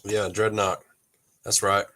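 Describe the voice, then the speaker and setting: Casual stream host, man, slightly low and congested, loose and chatty with mild swearing. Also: level tone, slow, bright, forceful tone. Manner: rambling, laid-back, laughs often, mild cursing. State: congested from allergies, coughing. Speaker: toy collector livestream host. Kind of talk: casual livestream chat with viewers.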